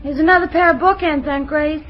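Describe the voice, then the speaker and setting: An actress playing an excitable, slangy teenage bobby-soxer in an old radio comedy. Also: female voice, sits high, a little fast, over music, close to an everyday voice, rough recording. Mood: sulky. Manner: melodramatic, slangy delivery. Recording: old radio drama recording.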